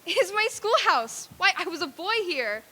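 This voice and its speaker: A woman's voice: very high voice, sing-song, moderate pace, bright, forceful tone, heavily acted. A woman playing a crotchety, frightened old miser in a blustering, theatrical radio-play reading. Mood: astonished. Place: theater stage. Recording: echoey hall, faint noise.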